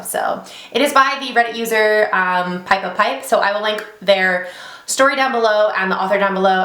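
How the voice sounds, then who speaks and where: A young woman hosts her channel from a small home office, reading a story aloud in a quick, chatty way. She breaks off often for blunt, swearing reactions. Her voice carries a noticeable room echo. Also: mid-range voice, fairly bright tone. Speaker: beauty channel host reading stories. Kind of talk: casual vlog storytelling with asides.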